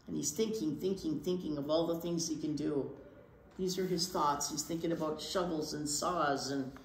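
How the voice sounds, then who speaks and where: A woman in her sixties reading a picture book aloud to children, with dramatic pauses and emphasis. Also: low-pitched. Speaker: children's storytime reader. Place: indoor children's library room. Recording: roomy space with faint background noise.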